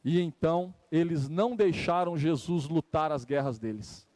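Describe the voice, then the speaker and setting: Brazilian guy preaching, pretty high voice, repeats lines to hammer them home, chatty and direct, dry sound. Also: normal speed, a little mellow, no echo. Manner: emphatic, repeating phrases for effect; conversational, addressing listeners directly. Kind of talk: church sermon. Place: live church service.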